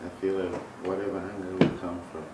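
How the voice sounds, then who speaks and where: A man's voice: low-pitched, flat delivery, brisk. A man in a casual group discussion, speaking in short, rhythmic, repeating phrases. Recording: echoey, noisy room, distant mic.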